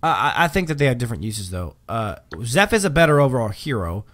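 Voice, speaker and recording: A man's voice: medium pitch, sing-song, fast, a bit bright. A man hosting a livestream, chatting casually while reading patch notes, with lots of um fillers and restarts. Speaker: livestream host.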